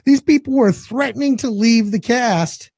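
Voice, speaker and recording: A man's voice: extremely high pitch, moderate pace, darkish tone, breathy edge, dry recording, studio quality. A man in his forties co-hosting a casual talk show, joking and cursing bluntly, his voice still cracking after losing it. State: recovering voice, some cracking. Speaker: podcast co-host. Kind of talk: casual chat.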